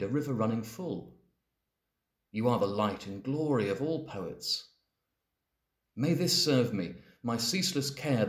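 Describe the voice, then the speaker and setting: A man reading poetry aloud as a dramatic recitation. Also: fairly low pitch. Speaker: poetry reader. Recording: echoey, reverberant room.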